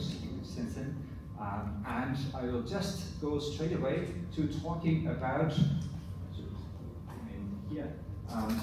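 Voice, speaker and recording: A middle-aged man from Belgium whose first language is Dutch, giving a relaxed conference presentation with many fillers. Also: mid-range voice. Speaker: university professor. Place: large conference hall. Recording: echoey hall from far off, noisy.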